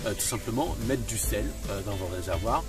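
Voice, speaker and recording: A man's voice: lowish voice, animated, brisk, recorded from a distance, music in the background. A young man talking to the camera outdoors beside a camper van, rambling with many fillers and insisting on his point. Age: young adult. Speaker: vlogger. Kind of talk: casual to-camera vlog. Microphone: camera a few feet away.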